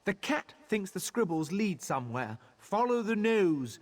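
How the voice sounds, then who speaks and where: A snooty elven guard for a fantasy game. The voice sits high and swings animatedly, full of disdain and curt superiority toward outsiders. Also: male voice, sing-song, unhurried, full character voice. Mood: haughty, dismissive. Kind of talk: video game character dialogue.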